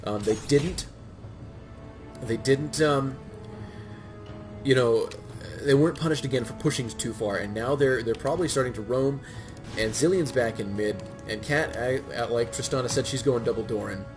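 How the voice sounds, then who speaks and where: Male game caster, stuffy cold voice, music clearly underneath. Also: medium pitch, normal speed, pauses often. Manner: excitable, many fillers and restarts. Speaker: game commentator. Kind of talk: solo play-by-play commentary.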